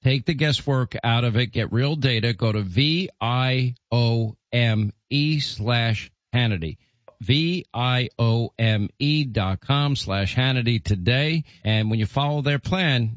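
A man's voice: mid-range voice, a little slow, dry recording, dull audio. A male radio host doing a live-read ad in an upbeat, persuasive way.

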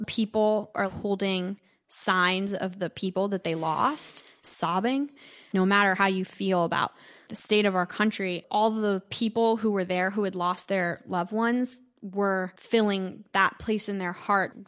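A teenage girl from New York talks about her singing as a radio interview guest. She speaks in an earnest, youthful way, with a voice of middling pitch. She comes across as an open, thoughtful young classical singer. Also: fairly even intonation, unhurried, fairly bright tone. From Brooklyn, New York. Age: teens. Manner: earnest, youthful, sometimes laughing.

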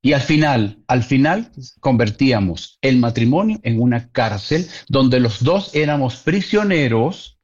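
A man's voice: mid-range voice, lively intonation, unhurried, few pauses, a little mellow, slightly rough, muffled recording. A man talking earnestly about relationships in a casual conversation, repeating key points for emphasis.

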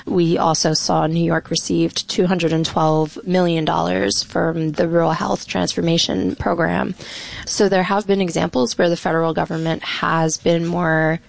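A woman's voice: lowish voice, flat delivery, normal speed, vocal fry. A woman reporter on a radio interview, explaining policy in a matter-of-fact, informed way with many "you know"s. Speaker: reporter guest.

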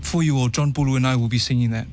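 A young adult man, an opera tenor chatting in an interview, relaxed and halting, with many fillers and restarts. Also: mid-range voice, heavy background noise. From New Zealand. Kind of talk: casual interview chat.

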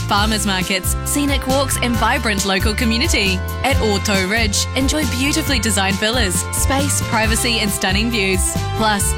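A woman reading a radio commercial in a calm, soothing way. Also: a little high. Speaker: ad voice-over. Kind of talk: scripted commercial read.

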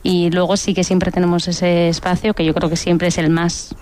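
A woman language teacher, speaking thoughtfully with hesitant pauses on a panel. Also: lowish voice, flat delivery, noisy background. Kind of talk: panel discussion.

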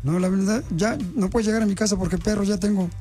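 A man's voice: sits high, fairly even intonation, fast talker, warm tone. A male radio host, posing as a government official in a prank phone call, teasing and mock-stern.

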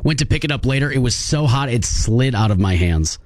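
A man's voice: mid-range voice, lively intonation, fairly quick, a little mellow, no echo. Guy cohosting morning radio, jokey, reads texts out, bouncy voice, dry studio sound. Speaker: radio co-host.

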